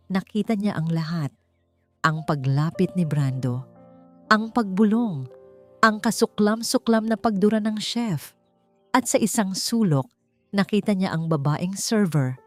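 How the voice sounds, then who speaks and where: A woman narrating a scripted story in a dramatic, suspenseful style, with deliberate short emphatic phrases. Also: fairly low pitch, a little mellow. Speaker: audiobook narrator. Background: background music.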